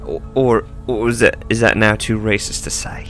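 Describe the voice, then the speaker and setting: Low-voiced evasive priest over music. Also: male voice, steady tone, normal speed, a bit bright. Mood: nervous, evasive. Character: male priest, evasive and bumbling small-town Father. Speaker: actor introducing the show he plays in. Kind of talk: radio drama acting.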